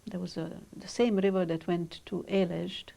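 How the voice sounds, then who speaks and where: An older woman whose first language is Hungarian, speaking in measured, reflective sentences with pauses as she is interviewed about her childhood. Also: lowish voice, fairly even intonation, creaky, dark tone. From Romania. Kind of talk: oral history interview.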